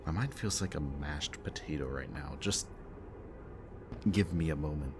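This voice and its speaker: A man performs a brooding villain in an audio roleplay with an extremely deep voice. He speaks slowly and deliberately, with mocking politeness over a weary, haunted core. Clearly audible ambient noise sits behind him. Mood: tense, weary. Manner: theatrically polite, slow and deliberate, sighing. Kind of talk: ASMR-style audio roleplay acting.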